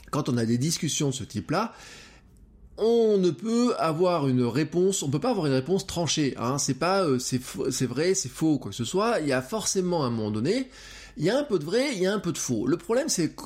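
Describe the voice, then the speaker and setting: Lively, hesitant podcast host thinking aloud. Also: male voice, on the high side. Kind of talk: solo podcast monologue. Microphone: close podcast microphone.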